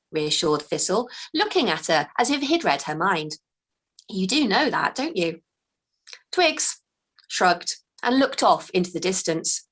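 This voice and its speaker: A woman author reading her children's book aloud with expressive, unhurried storytelling. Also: medium pitch.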